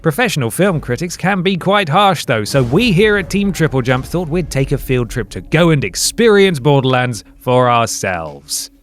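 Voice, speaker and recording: A man's voice: on the high side, very animated, moderate pace, hardly any pauses. A man reading a scripted video essay narration, with dry, sarcastic asides.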